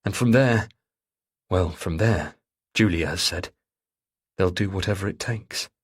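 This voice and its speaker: A man's voice: sits low, measured pace, slightly rough. A man reading a thriller audiobook as narrator, in a dramatic scripted style.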